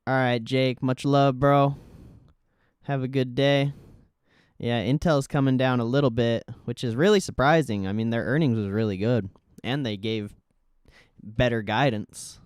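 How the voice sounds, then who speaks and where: Slow-talking man, very clear smooth voice. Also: mid-range voice, darkish tone, no room sound, clean studio sound. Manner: informal, slangy, swearing. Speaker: livestream host. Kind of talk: casual live stock-market commentary.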